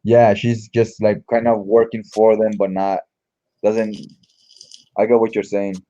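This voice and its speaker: A man's voice: fairly low pitch, flat delivery, unhurried, mellow, highs cut off. A man giving casual read-along comic commentary in an informal, slangy, mildly profane way. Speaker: comic book reviewer.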